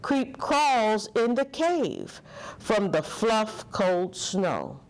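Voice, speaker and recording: A middle-aged woman reading a picture book to children in a deliberate, dramatic, expressive style. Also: medium pitch, low-quality recording. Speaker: children's story reader. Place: indoor office room. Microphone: lapel.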